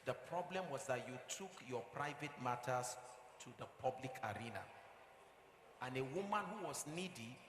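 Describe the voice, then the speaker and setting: A man's voice: mid-range voice, expressive, normal speed, lightly acted. Emphatic Nigerian marriage counsellor in an echoey hall, played by a man. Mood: grave, counselling. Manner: emphatic, preachy, with rhetorical questions and repetition. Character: male marriage counsellor, firm, fatherly and admonishing. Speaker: actor in a stage play. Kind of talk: live stage acting. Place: theatre stage with live audience. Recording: echoey hall, noisy live stage recording.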